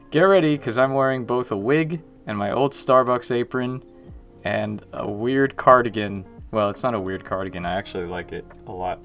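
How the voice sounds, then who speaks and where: A man's voice: fairly low pitch, fairly bright tone. A young man chatting casually on his livestream from a small room at home, self-mocking and greeting viewers by name. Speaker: indie game developer streaming.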